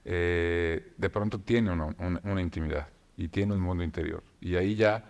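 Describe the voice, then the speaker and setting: Man, very low voice, thoughtful pauses, unhurried talk show chat. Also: fairly even intonation. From Mexico. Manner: reflective, many filler hesitations and restarts. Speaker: novelist guest. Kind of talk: conversational talk show discussion.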